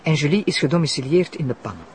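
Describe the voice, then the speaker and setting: A woman's voice: low voice, animated, fast, darkish tone. A woman with a Flemish accent playing an eager, polite deputy prosecutor in a naturalistic audio drama. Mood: businesslike. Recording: faint background noise.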